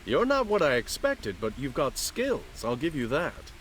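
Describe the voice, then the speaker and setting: A guarded martial arts master, swinging intonation. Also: male voice, medium pitch, wildly swooping pitch, measured pace, low-quality recording. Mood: challenging. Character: guarded, world-weary martial arts school master, male. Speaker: video game voice actor. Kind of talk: dramatic game cutscene acting.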